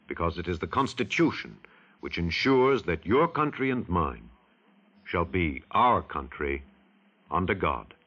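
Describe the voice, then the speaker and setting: A man's voice: deep voice, big pitch swings, unhurried, fairly bright tone, slightly rough. An American man delivering scripted vintage radio narration as an announcer, solemn, emphatic and rhetorical. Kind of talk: scripted mid-century radio civics narration. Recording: dull vintage radio recording.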